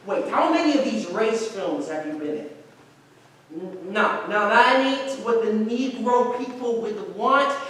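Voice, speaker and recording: A man playing condescending film casting directors in a staged reading, halting and patronizing. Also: sits high, lively intonation, fairly bright tone, voice pushed up. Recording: very echoey room, distant mic.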